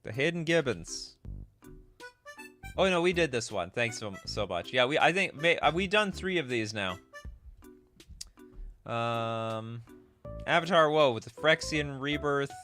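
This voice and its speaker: Animated, bright-voiced man live-streaming. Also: mid-range voice, unhurried, pauses often, faint music behind. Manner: animated, thinking aloud, exclaiming. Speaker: host of a card game live stream. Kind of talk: casual live-stream commentary, reading cards and chat aloud.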